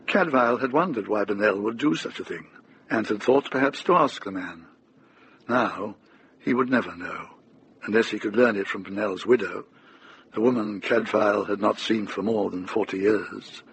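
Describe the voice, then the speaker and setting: An actor narrating as a grave, measured storyteller in a scripted radio drama. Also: male voice, lowish voice, fairly bright tone, slight background noise.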